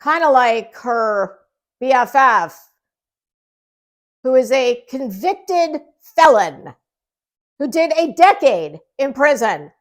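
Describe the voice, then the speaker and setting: A woman's voice: high-pitched, animated, slow pace, pauses often. A woman giving casual gossip commentary in a sarcastic, mocking way, as the host of her own online show.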